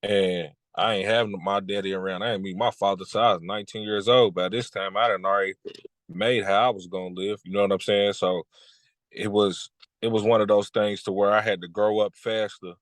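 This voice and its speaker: A man in his thirties from Kentucky, a music artist talking casually in an interview, relaxed and repeating his phrases. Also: on the low side, no room sound. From Lexington, Kentucky. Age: 30s. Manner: laid-back, repeats "you know what I'm saying".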